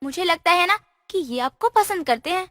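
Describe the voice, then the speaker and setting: A woman's voice: extremely high pitch, lively intonation, normal speed, sharp tone, dry recording, studio quality, heavily acted. A woman voicing a cheeky, playful fairy girl in a cartoon story. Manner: teasing, chirpy, mischievous. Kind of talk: cartoon voice acting.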